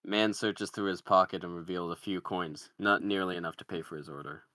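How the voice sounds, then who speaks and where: A man's voice: sits low, fairly even intonation, brisk, bright, forceful tone, no echo, clean studio sound. A man narrating the stage directions of a comedy screenplay in a scripted read.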